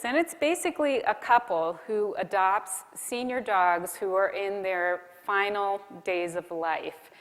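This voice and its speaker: A woman giving a conversational conference keynote, asking the audience questions as she goes. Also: medium pitch. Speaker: keynote speaker. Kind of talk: conference keynote talk on content marketing. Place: large conference hall. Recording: long hall reverb.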